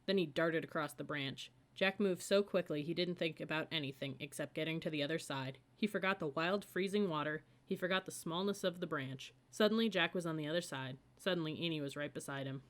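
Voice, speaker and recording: A woman's voice: deep voice, fairly quick, few pauses. A woman reading a children's audiobook as scripted story narration. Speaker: audiobook reader.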